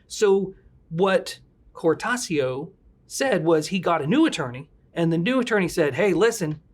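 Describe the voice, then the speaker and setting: A man's voice: on the high side, lively intonation, unhurried. A man hosting a legal podcast in casual, joking talk, retelling a case with loose asides. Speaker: podcast host, attorney.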